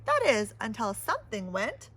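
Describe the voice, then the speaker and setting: A woman's voice: a little high, wildly swooping pitch, normal speed, a bit bright, slightly rough. A woman reading a children's picture book aloud with theatrical, dramatic emphasis. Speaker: storybook reader.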